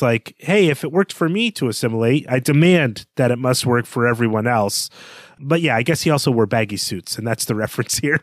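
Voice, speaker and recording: A man's voice: medium pitch, dry recording, clean studio sound. A man co-hosting a podcast, discussing books conversationally in a bookish, analytical way. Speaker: podcast co-host.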